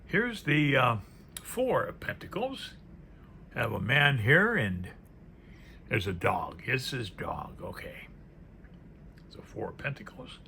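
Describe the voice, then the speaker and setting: A man's voice: mid-range voice, sing-song, slow pace, creaky, pauses often, bright, forceful tone. A man giving casual, unscripted commentary as he presents a card deck, musing aloud and trailing off into murmured asides. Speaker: presenter reviewing a tarot deck. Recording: faint background noise.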